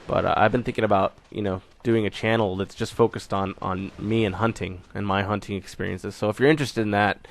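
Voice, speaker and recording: A man's voice: on the low side, fairly even intonation, brisk, gravelly, hardly any pauses. A young man giving a casual channel update, rambling with many fillers and restarts while still getting over a cold. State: recovering from a cold. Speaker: gaming channel host.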